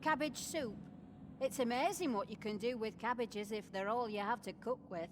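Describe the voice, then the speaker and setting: An actress voicing a brusque, suspicious, judgmental kitchen nun in a video game, curt and defensive in manner. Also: female voice, fairly high pitch, moderate pace, background noise, lightly acted. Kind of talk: voiced video game dialogue.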